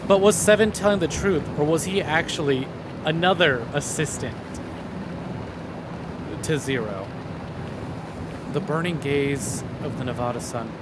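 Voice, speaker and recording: A man reading a visual novel on stream, with expressive story narration and casual commentary. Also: a little high, a little slow, long pauses, heavy background noise. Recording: loud music behind the voice. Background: game music.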